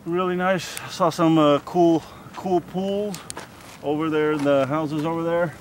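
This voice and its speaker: A man's voice: fairly high pitch, steady tone, unhurried. A young man chatting casually and jokily to a handheld camera on an outdoor hiking trail, a little out of breath. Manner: chatty, jokey, self-mocking. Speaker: vlogger. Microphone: handheld camera mic. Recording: outdoor camera audio with clear background noise.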